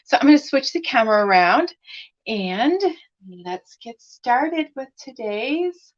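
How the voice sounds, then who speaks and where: A woman hosting a craft live stream, chatting with viewers by name and using many fillers, her voice slightly raspy as she recovers from laryngitis. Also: on the high side, animated, slow pace. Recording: dull lo-fi live stream audio.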